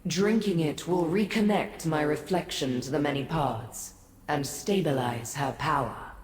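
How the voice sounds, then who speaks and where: An actress playing a solemn, weary female deity in a fantasy game, speaking in a grave, formal, measured manner. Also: low voice, darkish tone. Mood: regal, measured. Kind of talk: scripted fantasy game dialogue. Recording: echoey reverb, faint noise.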